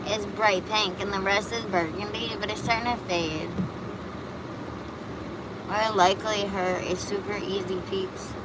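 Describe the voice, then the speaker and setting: A woman's voice: mid-range voice, fairly even intonation, measured pace. Chatty woman streaming amid traffic. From Buffalo, New York. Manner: chatty, rambling, reacts to chat comments. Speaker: livestream host. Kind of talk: casual live chat answering viewers.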